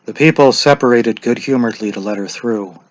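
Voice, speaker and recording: A man narrating a short story as a dramatic reading, measured and deliberate. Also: medium pitch.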